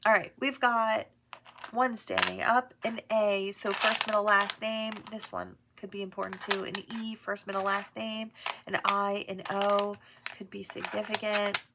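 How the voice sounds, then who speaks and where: A woman with a stuffy nose from allergies, gently giving a channeled tarot reading full of affirmations, often pausing with "um" and "right?". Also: medium pitch, little pitch movement, creaky, heavy background noise. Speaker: tarot reader. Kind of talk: channeled oracle reading to camera.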